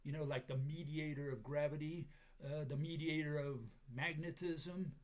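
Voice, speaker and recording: A man with a raspy, hoarse voice from a cold, giving a casual lecture with many pauses and fillers. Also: fairly high pitch, steady tone, darkish tone. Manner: halting, many fillers, chuckles at himself.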